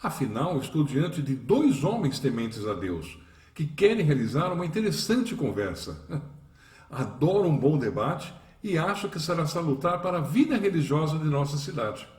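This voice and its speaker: Male actor as courteous town colonel, animated, slightly distant, roomy echo, radio drama moderator. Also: on the high side, normal speed. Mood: genial. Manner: formal, affable. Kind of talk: religious radio drama acting. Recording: echoey room, distant, music behind.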